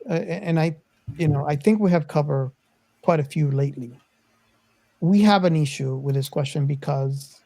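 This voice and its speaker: A man hosting a casual film discussion podcast, speaking in a measured, hesitant, roundabout way. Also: on the high side, mellow, dry recording. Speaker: podcast host.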